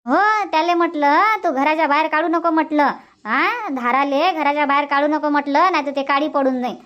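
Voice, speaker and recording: A woman's voice: high voice, fairly quick, a bit bright, dull audio. A woman voicing chatty, sociable village women in a cartoon comedy, speaking colloquial Vidarbha Marathi with repeated phrases. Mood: animated gossip. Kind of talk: cartoon comedy dubbing in rural dialect.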